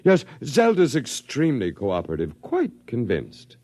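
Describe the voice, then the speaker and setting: An actor playing a calm, coolly reasonable elderly alien archivist in a 1950s radio drama. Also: male voice, medium pitch, normal speed, dry recording.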